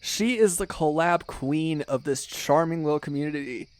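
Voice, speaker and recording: A man's voice: fairly high pitch, normal speed, natural voice. Man acting as an effusive, dramatic best friend. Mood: mock outrage. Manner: effusive, dramatic, gushing with mock-serious exaggeration. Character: excitable, sentimental male best friend and ASMR superfan. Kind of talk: ASMR roleplay acting, casual one-sided chat.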